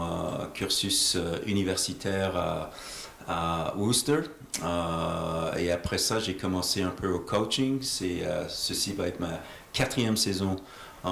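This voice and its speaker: A man interpreting interview answers in a halting way, often searching for his words. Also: sits low, vocal fry, fairly bright tone. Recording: echoey room with faint noise.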